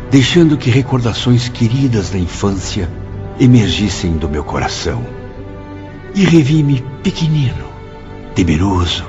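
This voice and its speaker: An actor playing a grave, wistful male spirit narrating his memories, with a Brazilian accent. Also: deep voice. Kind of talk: first-person dramatized narration. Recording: dull audio with background music.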